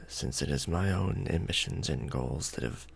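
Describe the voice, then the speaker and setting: A man playing a courtly, protective, brooding vampire lord in a soft, formal ASMR romantic roleplay. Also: extremely low pitch, gravelly, slightly rough, exaggerated voice. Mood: hesitant, obliging. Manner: formal, archaic wording, slow and hushed.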